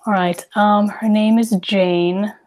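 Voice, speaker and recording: A woman's voice: medium pitch, fairly even intonation, slow, a little mellow. A woman playing a tabletop game, casually introducing her character and thinking aloud in a tentative way.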